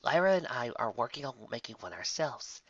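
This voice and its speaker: A narrator playing a timid, apologetic female spa pony, flustered and defensive, speaking in a soft, hesitant, pleading way. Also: mid-range voice, very animated, fairly quick, breathy edge, no echo, lo-fi sound.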